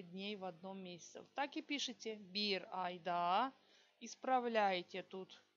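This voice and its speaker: A woman teaching a language lesson, slowly dictating example sentences word by word and checking in with her students. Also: mid-range voice, lo-fi sound. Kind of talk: online language lesson, explaining exercises.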